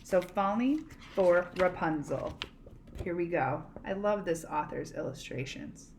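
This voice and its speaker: A woman reading a picture book aloud expressively, pausing to explain words and ask questions. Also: on the low side, slight background noise. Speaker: read-aloud storyteller, teacher.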